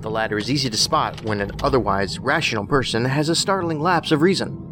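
An actor playing an eccentric, analytical federal investigator in an audio drama, wordy and dryly whimsical. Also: male voice, medium pitch, fairly quick, background noise, close to an everyday voice. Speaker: federal agent, profiler.

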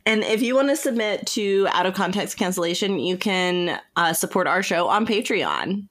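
A woman's voice: medium pitch, expressive, moderate pace, fairly bright tone. A woman co-hosting a podcast, chatting casually in an informal, emphatic way and often saying "like". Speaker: podcast co-host.

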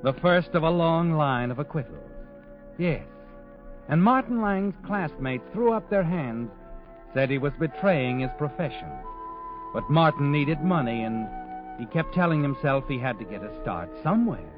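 A tinny vintage radio recording with music behind an actor playing a sardonic, all-knowing male narrator. His delivery is dramatic and needling, with highly animated pitch, as he narrates a crime drama. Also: normal speed, lightly acted. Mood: knowing, ominous. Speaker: host and narrator. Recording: tinny narrow-band old radio recording, musical backing.

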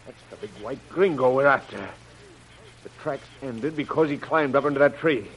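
Man as ruthless gang leader, mid-pitched, domineering, obsessed, barking orders, vintage radio serial, faint noise. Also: moderate pace, fairly bright tone, lightly acted. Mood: angry, impatient. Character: ruthless, obsessed male jungle gang boss. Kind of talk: 1930s radio adventure acting. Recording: noisy vintage radio recording.

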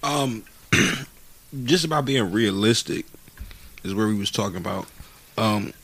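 A man's voice: lowish voice, takes it slowly, fairly bright tone, low-quality recording. A man in his late twenties talking casually on a podcast, slangy and profane, often repeating words while he thinks. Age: 20s. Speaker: podcast co-host, aspiring rapper. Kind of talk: casual chat.

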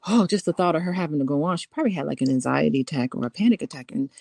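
A woman's voice: low voice, lively intonation, very fast, creaky, dark tone, no room sound, clean studio sound. A woman co-hosting a podcast, talking casually, teasing and often laughing. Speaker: podcast co-host. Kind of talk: casual conversation.

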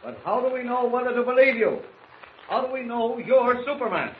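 An actor playing a gruff, impatient newspaper boss, blustery and curt, in 1940s radio drama style. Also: male voice, extremely high pitch, a little fast. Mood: demanding. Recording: muffled old radio recording, faint noise.

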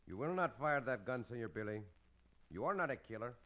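Radio western lead: suave gunfighter hero, animated melodic delivery, faint noise of vintage recording. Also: male voice, medium pitch, fairly quick. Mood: tense. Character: suave Mexican hero gunfighter, calm and noble. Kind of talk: old radio western acting and narration.

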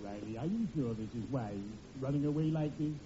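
An actor playing a friendly undertaker in an old radio comedy, formal and polite with dry, macabre humour. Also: male voice, mid-range voice, fairly quick, natural voice. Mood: genially morbid. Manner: formal, genteel phrasing with dry macabre wordplay. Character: friendly, morbidly punning male undertaker, unflappably polite. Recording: muffled, very noisy vintage radio recording.

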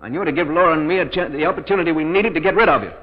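A man's voice: on the high side, racing, natural voice. An actor playing a cold-blooded, scheming murderer in a 1940s radio thriller, menacing and urgent in his delivery. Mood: menacing gloating. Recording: dull vintage 1940s radio, long reverb.

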